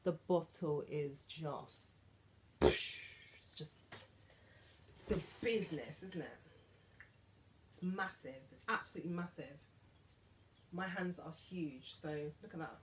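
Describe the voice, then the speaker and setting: A British woman in her thirties chatting to camera in a beauty haul vlog, animated and dropping to whispers for asides, filmed in her dressing room. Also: low voice, takes it slowly, long pauses, a little hiss or hum. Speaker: beauty vlogger. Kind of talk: casual haul chat to camera. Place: bedroom dressing room with vanity, indoors.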